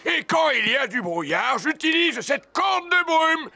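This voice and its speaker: A man's voice: extremely high pitch, big pitch swings, unhurried, creaky, noisy background, full character voice. A man voicing an eager, declaiming old rabbit lighthouse keeper in a French children's cartoon. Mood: exuberant, proud.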